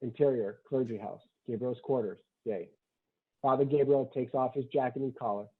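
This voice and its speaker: A man's voice: medium pitch, normal speed, breathy edge. A man reading screenplay stage directions aloud at a table read, matter-of-fact, in short clipped sentences. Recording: thin, phone-like online call audio.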